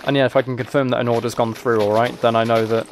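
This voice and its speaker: A man's voice: medium pitch, level tone, fast, slight background noise. A man chatting casually on a game livestream, rambling and thinking aloud as he plays. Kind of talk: casual chat while playing a game.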